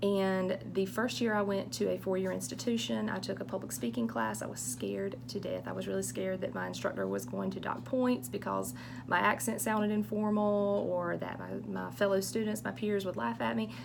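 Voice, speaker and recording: A woman's voice: mid-range voice, normal speed, gravelly, background noise. A middle-aged woman from eastern North Carolina with a strong Southern accent, answering student questions for a college public speaking course in a friendly, reassuring, chatty way. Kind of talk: casual recorded course Q&A.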